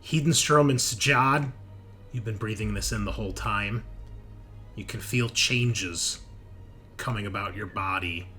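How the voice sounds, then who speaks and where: A man's voice: lowish voice, unhurried, sharp tone. A man running a tabletop horror game as game master, narrating with dramatic suspense. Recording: music behind the voice. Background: background music.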